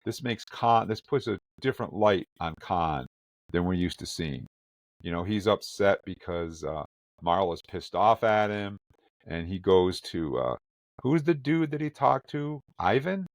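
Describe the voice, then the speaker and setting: A man with a lowish voice talks in a loose, animated podcast chat, exclaiming and joking. The sound is close and dry, with no room around it. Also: unhurried, no room sound. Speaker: podcast co-host. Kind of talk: casual review chat.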